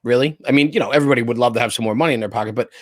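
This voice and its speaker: Middle-aged American male interview guest, medium pitch, dry sound, emphatic and opinionated yet casual. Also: racing, dry recording. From New Jersey, United States. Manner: emphatic, repeats points, checks with "right?". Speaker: interview guest, fire service spokesperson and former chief.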